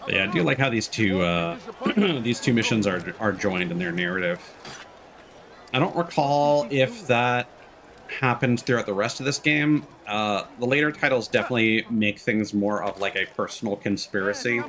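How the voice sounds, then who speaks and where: A man's voice: on the low side, moderate pace, a bit bright. A man giving casual, laid-back gameplay commentary as a streamer, musing as he plays. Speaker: game streamer. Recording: noisy game audio behind voice. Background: video game sounds.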